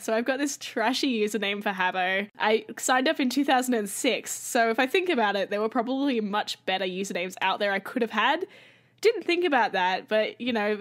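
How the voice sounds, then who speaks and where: A young woman from Australia, guest on a podcast, chatting casually and poking fun at herself. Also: mid-range voice. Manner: self-deprecating, laughs often. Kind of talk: casual interview chat.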